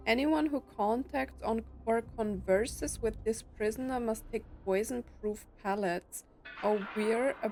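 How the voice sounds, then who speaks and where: Woman playing and reacting to a game. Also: medium pitch. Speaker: gameplay streamer. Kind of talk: casual game reactions and reading text aloud.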